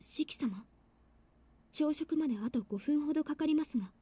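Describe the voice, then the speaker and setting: A woman's voice: sits high, fast talker, mellow, lightly acted. Woman acting a polite, reserved young maid. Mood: polite, subdued. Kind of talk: Japanese visual novel voice acting.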